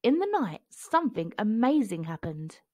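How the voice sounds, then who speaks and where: Animated woman reading a picture book aloud. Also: mid-range voice, moderate pace, breathy edge, no room sound, clean studio sound. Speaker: children's book narrator.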